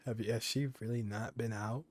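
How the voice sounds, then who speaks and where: A man's voice: on the low side, steady tone, a little fast. A man giving casual, off-the-cuff commentary as he streams a game and reads dialogue choices aloud. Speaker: gaming streamer.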